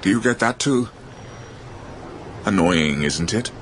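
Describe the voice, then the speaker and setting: An actor playing a fussy, oblivious old-fashioned English ghost gentleman in a radio drama, with a British accent and polite chummy manner. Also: sits low, animated, slow pace, slight background noise, heavily acted. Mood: genial, fussy. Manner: polite, dated upper-class chumminess.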